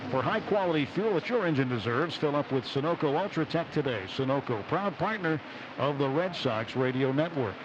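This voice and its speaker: A man's voice: a little high, animated, a little fast. American baseball radio announcer, man, noisy broadcast. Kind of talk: live radio sports commentary with sponsor reads. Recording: dull radio broadcast with loud stadium noise. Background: stadium ambience.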